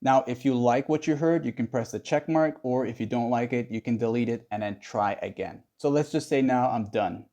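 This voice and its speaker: A man's voice: mid-range voice, normal speed, few pauses, mellow. A man narrating a casual how-to tutorial in a conversational, instructional way. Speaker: tutorial host.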